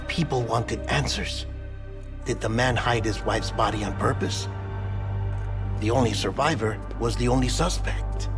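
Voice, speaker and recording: An actor playing a calm, talkative artificial man built from cadaver parts, speaking in a measured, confiding way in a game cutscene. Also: medium pitch, natural voice. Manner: measured, slow, confiding delivery. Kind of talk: video game cutscene acting. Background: game score music.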